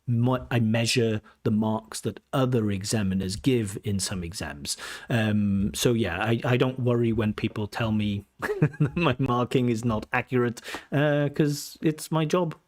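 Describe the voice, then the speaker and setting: A man's voice: mid-range voice, unhurried, darkish tone. A man from Wales with a Welsh accent, an examiner hosting a casual livestream Q&A, talking in a chatty, self-assured way with many ums and restarts. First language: English. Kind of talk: casual livestream Q&A, reading viewer comments.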